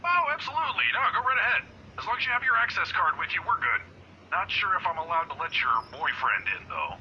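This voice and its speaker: A man performs an old security guard in a visual novel game, kindly, chatty and forever fumbling. His voice is strikingly bright and swings up and down as he hesitates and rambles. The sound is slightly boxed-in and lo-fi. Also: fairly high pitch, sing-song, fairly quick, slight background noise, heavily acted. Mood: friendly teasing. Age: older. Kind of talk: voice-acted visual novel dialogue.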